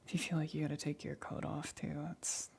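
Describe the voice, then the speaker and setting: A woman's voice: sits low, little pitch movement, a little fast, creaky, a little mellow, slight background noise. A woman playing a shaken, awkward but reassuring lab scientist in an ASMR roleplay, whispering breathily with a hesitant stammer. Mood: dazed, woozy.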